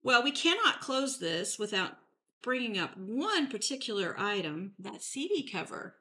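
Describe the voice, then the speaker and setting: A woman's voice: on the high side, very animated, a little slow, sharp tone. Supportive woman podcast host. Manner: supportive, agreeable, complimentary. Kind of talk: casual interview chat.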